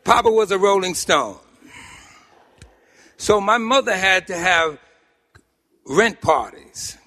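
High, bright-voiced New York man in echoey hall. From Harlem, New York City, United States. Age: middle-aged or older. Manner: animated storytelling with emphatic pauses and repeated phrases. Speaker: speaker at a recovery meeting. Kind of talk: personal testimony told to an audience with humor. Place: meeting hall, speaking to a group. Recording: echoey hall with long reverb.

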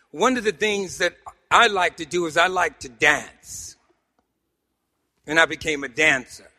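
A middle-aged or older man with a New York accent, giving an animated, humorous personal testimony to a group, with emphatic pauses and repeated phrases. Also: sits high. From Harlem, New York City, United States. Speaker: speaker at a recovery meeting. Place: meeting hall, speaking to a group. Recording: echoey hall with long reverb.

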